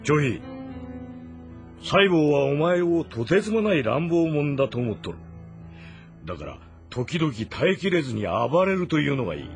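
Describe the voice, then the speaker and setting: An actor playing a grave, measured older sworn-brother general in a historical game drama, with deliberate, stern diction. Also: male voice, fairly high pitch, big pitch swings, soft background music. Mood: firm. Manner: deliberate, stern, martial, old-fashioned diction.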